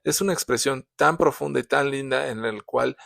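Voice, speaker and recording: A man's voice: medium pitch, moderate pace, vocal fry, fairly bright tone, dry recording, studio quality. A man with a sore throat, hosting a casual book review, talking with many fillers and restarts. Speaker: book reviewer, show host.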